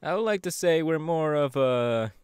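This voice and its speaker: A man's voice: on the high side, moderate pace, smooth, no room sound, studio quality, close to an everyday voice. A man playing an upbeat, easygoing young electric superhero in a casual, chatty audio roleplay. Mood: flustered. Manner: casual, animated, hesitant with fillers. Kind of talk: audio roleplay, chatty anime character acting.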